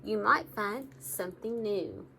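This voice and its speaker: A woman reading picture books aloud to young children in an animated, engaging way, often addressing her listeners directly. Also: mid-range voice, a little slow, gravelly, a little hiss or hum, poor audio.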